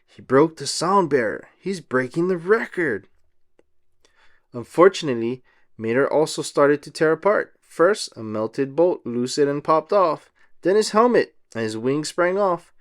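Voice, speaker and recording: A man reading a children's storybook aloud as narrator, in an expressive style. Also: fairly high pitch, measured pace.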